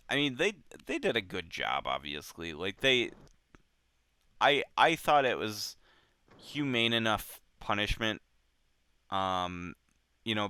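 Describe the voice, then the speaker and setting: A man with a fairly low, very clear voice talks casually about a book, close and dry. His pitch moves around a lot as he thinks out loud in informal, halting bursts. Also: dry recording. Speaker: book club podcast host.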